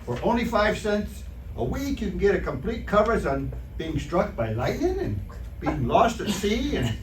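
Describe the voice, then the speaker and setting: A folksy, sheepish radio-comedy husband played by a man, fairly high and very animated. The sound is roomy and far from the mic. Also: moderate pace. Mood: sheepish excuses. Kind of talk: old-time radio comedy acting. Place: live theater stage performance. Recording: roomy, distant, noisy stage recording.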